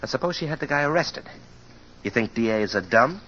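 A brash private eye from an old radio thriller. The man talks in slangy wisecracks with a sharp, forceful voice and big swings in pitch. It sounds like a dull vintage recording. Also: animated, a little fast. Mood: tough, accusing. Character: cocky, slangy tough-guy private detective. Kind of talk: 1940s-50s radio detective drama acting.

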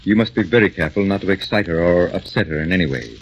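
A low-voiced, kindly family doctor. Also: male voice, animated, fast talker, vocal fry. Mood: grave. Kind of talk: 1940s radio acting. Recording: muffled, hissy old radio recording.

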